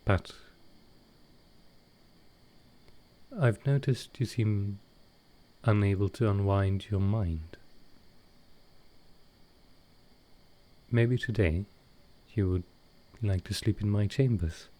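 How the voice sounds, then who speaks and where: A gentle vampire lord of an intimate audio roleplay, acted by a man. His voice sits very low and he speaks very slowly. He is tender and hesitant, a soft-hearted host who carries grief and offers comfort. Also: lightly acted. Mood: tender, reassuring.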